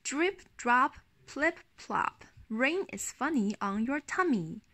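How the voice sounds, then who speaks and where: A woman's voice: sits high, animated, slow pace, sharp tone, slight background noise, full character voice. A woman voicing a playful, childlike little duckling in a storybook, with a sing-song rhyming delivery. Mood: happy, playful. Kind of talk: children's picture-book storytelling.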